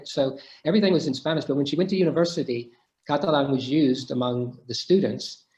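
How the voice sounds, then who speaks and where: A man's voice: medium pitch, fairly quick, a little mellow, reverberant, rough recording. A middle-aged man answering questions in an academic discussion, thoughtful and full of restarts. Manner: thoughtful, many restarts and hesitations. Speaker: guest speaker.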